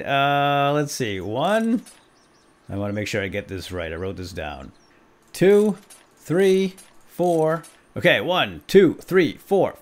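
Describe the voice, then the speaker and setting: A man with very lively, swooping intonation gives playful let's play commentary. He talks to himself and to viewers in an exclamatory way, with music behind him. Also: mid-range voice. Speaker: gaming video host.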